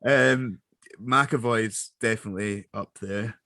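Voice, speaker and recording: A man chatting casually as an interviewed actor, jokey and self-deprecating, with halting phrasing. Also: fairly low pitch, bright, forceful tone, no room sound. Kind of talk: casual chat.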